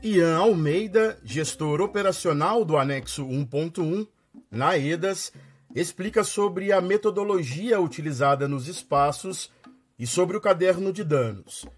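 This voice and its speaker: A man's voice: fairly high pitch, expressive, a little slow, slightly rough, faint music behind. A Brazilian man presenting a news programme in scripted narration.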